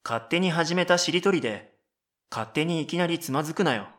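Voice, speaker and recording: A man's voice: a little high, lively intonation, a little fast, a bit bright. A man voicing a dry-witted, exasperated middle-school boy who narrates and makes matter-of-fact retorts in a comedic radio drama.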